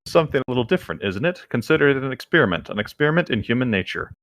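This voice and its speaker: A man's voice: medium pitch, big pitch swings, rapid-fire, fairly bright tone, slight background noise. A man chatting casually and reading aloud among friends. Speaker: co-host of a comedic group read-along.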